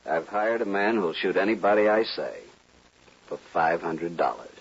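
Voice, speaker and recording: An actor playing a haughty aristocratic villain in an old western radio drama, stiff, formal and threatening. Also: male voice, low voice, expressive, moderate pace, slightly rough, exaggerated voice. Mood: menacing. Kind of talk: old-time radio acting. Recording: dull, noisy old radio recording.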